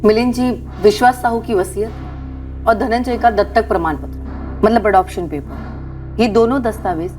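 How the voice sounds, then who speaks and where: Composed female officer, played by an actress, crime drama. Measured, probing, matter-of-fact, light music bed. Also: on the high side, darkish tone, lightly acted, low-quality recording. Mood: skeptical. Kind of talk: television crime drama dialogue. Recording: background music under dialogue.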